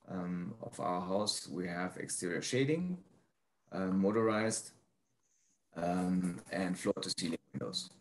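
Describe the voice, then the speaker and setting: A man presenting slides informally, speaking haltingly with frequent ums as he explains his work. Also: low-pitched. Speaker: presenter, prefab builder.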